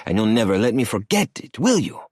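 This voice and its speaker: A man's voice: fairly low pitch, big pitch swings, fairly quick, a little mellow, slightly rough, dry recording, studio quality, pitched above the performer's own voice. A man voicing an arrogant, mocking cartel boss who taunts and sneers, cigar in hand. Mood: sneering.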